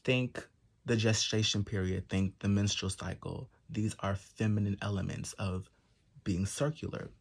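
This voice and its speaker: A man with a soft, slightly low and breathy voice explains things in a calm, methodical way. He works through lists point by point and pauses often to find his words. It sounds like a presenter teaching an audience. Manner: measured, methodical listing with pauses and fillers. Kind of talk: explanatory talk to camera.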